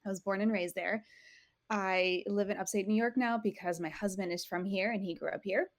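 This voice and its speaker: Thirtysomething woman from portugal chatting on a podcast, mid pitch, dry close sound. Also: steady tone, brisk, dry recording. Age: 30s. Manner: chatty, self-correcting, laughs easily. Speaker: podcast guest. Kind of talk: casual interview chat.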